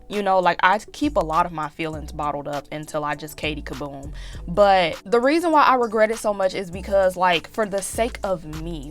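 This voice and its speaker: A woman in her twenties talking candidly in a casual confessional vlog, slightly raspy from allergies, with lots of fillers and restarts. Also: fairly low pitch. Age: 20s. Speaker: YouTube vlogger.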